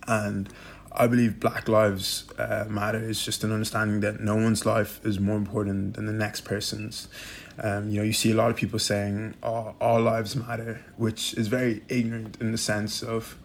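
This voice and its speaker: A man's voice: lowish voice, completely flat delivery, moderate pace, creaky, slight background noise. A young Irish man speaking earnestly as a podcast guest, with a lot of "you know" fillers. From Ireland. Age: young adult. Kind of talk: casual podcast discussion.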